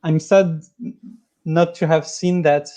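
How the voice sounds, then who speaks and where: A man with a French accent calling into a livestream, hesitant and full of pauses as he asks his question. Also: on the high side, steady tone, mellow. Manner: hesitant, many ums and pauses. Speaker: caller. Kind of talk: casual call-in question.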